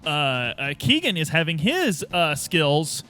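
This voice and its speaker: Higher-voiced man, casual podcast host. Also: very animated, bright, forceful tone, soft background music. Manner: jokey, halting. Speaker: podcast host and player in a tabletop roleplay.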